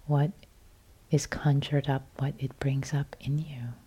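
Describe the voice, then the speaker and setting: A woman from Iran, Farsi her first language, leads a meditation in a slow, soft voice that often drops to a whisper. Her voice sits low and sounds deeply mellow and dark. The delivery is calm and unhurried throughout. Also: slightly rough. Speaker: meditation teacher. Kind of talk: guided loving-kindness meditation.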